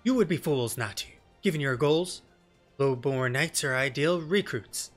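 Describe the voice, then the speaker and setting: A man's voice: medium pitch, expressive, faint music behind. Man voicing a resolute, formal lady knight. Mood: grave, persuasive. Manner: formal, commanding, measured archaic phrasing. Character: stern, formal woman knight commander, resolute. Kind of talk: game dialogue read in character.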